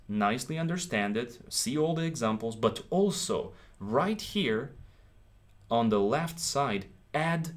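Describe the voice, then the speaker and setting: A man in his thirties, a native Ukrainian speaker with a Ukrainian accent, teaching English in a live stream from a small room, encouraging and checking in with his viewers often. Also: medium pitch. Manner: encouraging, repeats himself, checks in with "okay?".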